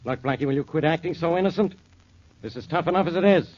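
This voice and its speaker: A man's voice: fairly high pitch, quick pace, a little mellow, lightly acted. An American man playing a stubborn, exasperated police official with a blustering, stern manner in 1940s radio drama. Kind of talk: 1940s radio detective acting. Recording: dull 1940s radio broadcast recording.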